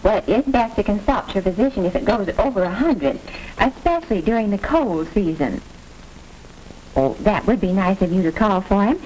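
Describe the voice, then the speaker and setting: A woman's voice: mid-range voice, lively intonation, normal speed, darkish tone, lightly acted. A woman playing a polite, formal school staffer on the telephone in a 1940s educational film. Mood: polite, helpful. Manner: courteous, formal. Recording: noisy 1940s film soundtrack.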